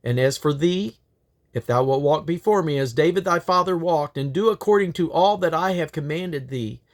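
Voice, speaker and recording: A man's voice: a little high, normal speed. A man reading scripture aloud in a solemn, declamatory way, with the occasional slip and correction. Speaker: Bible reader.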